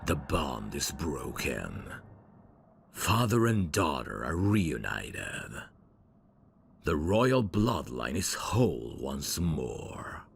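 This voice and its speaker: A man playing a suave, devoted demon servant of hell's royalty, speaking formally and deliberately in an ASMR audio drama. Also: big pitch swings, slight background noise, full character voice. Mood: solemn, ritual. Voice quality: gravelly, very deep. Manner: formal, courtly, slow and deliberate.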